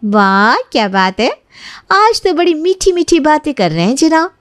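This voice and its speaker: A woman's voice: high-pitched, sing-song, moderate pace, dry recording, studio quality, pitched above the performer's own voice. A woman voicing a pleading, lovelorn young woman in her twenties in a cartoon family story. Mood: playful, loving. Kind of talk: cartoon moral-story dialogue.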